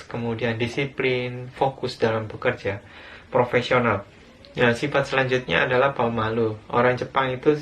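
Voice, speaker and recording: A man's voice: mid-range voice, a bit bright, slight background noise. A young Indonesian man giving an earnest, plain talk in a recorded video, with some hesitations. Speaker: guest speaker living abroad.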